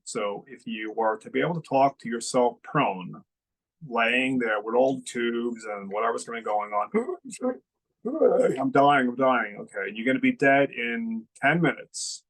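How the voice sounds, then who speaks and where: Slow, gravelly man giving a spiritual talk. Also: mid-range voice, a bit bright, low-quality recording. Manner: slow, reflective, asks listeners questions. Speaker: spiritual teacher.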